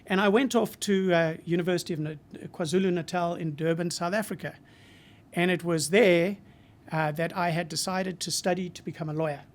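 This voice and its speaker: A man in his sixties from Zimbabwe with a Zimbabwean accent, a marketing professor speaking as a guest in a podcast interview, reflective and measured with frequent hesitations. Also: sits high, steady tone.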